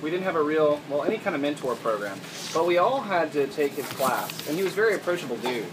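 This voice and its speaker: A man's voice: on the high side, big pitch swings, quick pace. A man working as a college instructor, recounting experience in a group discussion. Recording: distant mic in noisy room.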